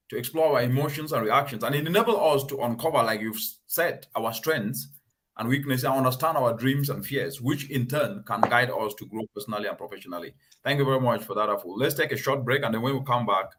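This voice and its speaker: A man hosting a talk show, speaking in an earnest, emphatic and measured way. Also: medium pitch, fairly bright tone. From Cameroon. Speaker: host.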